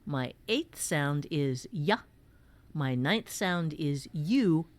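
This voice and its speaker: A woman's voice: low-pitched, lively intonation, extremely slow, dry recording. A woman teaching writing in a conversational way, explaining step by step with emphasis as a co-host.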